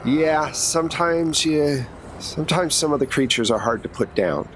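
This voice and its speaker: A man's voice: fairly high pitch, animated, normal speed, a bit bright, a little hiss or hum, pitched above the performer's own voice. A man playing a businesslike, protective female secret agent recruiter in a tabletop game, explaining things in a matter-of-fact, reassuring way.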